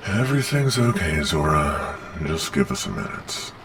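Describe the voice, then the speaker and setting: A man's voice: low voice, sing-song, normal speed, fairly bright tone, slight background noise, full character voice. A man playing a weary, matter-of-fact monster agent with a businesslike manner in an ASMR roleplay. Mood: businesslike, conceding.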